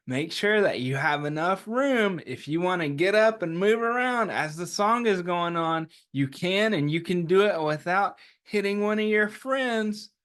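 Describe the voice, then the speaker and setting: A man's voice: high voice, bright, forceful tone, clear, resonant tone. A man hosting a story time, talking to young children in simple, encouraging, chatty language. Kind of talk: read-aloud and chatty address to young children.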